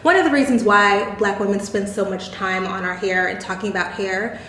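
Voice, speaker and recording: A woman's voice: medium pitch, moderate pace, fairly bright tone, a little hiss or hum. A woman moderating a candid group discussion, thoughtfully posing open questions to the others. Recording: roomy echo.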